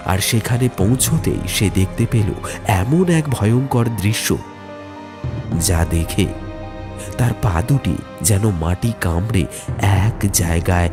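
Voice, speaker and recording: A man narrating a scripted ghost story in a suspenseful, deliberate way with dramatic pauses. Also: on the low side, over music.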